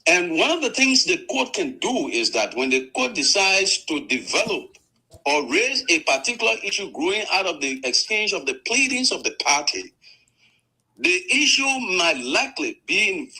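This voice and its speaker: A man's voice: high-pitched, very animated, pushed and bright, poor audio. A man, a lawyer making a formal legal argument on a panel show. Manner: measured, formal courtroom phrasing.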